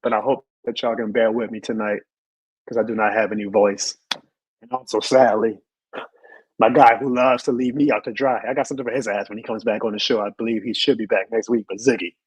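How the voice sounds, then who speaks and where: A hoarse man who has lost his voice, hosting a live sports show and ranting with emphatic repeated lines. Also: mid-range voice, fairly bright tone, no echo, low-quality recording. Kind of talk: live sports rant and chat reading.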